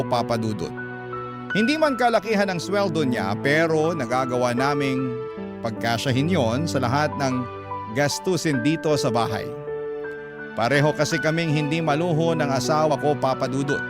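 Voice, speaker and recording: A man with a Filipino accent voicing a widowed parent who recounts family life in a measured, sentimental radio drama narration. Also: medium pitch, close to an everyday voice. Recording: music bed under the voice.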